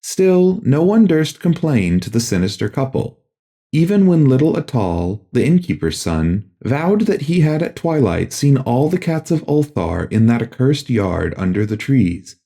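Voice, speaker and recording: A man narrating a gothic tale from a script as an audiobook, in a measured, solemn storytelling manner. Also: mid-range voice, very animated, gravelly, mellow.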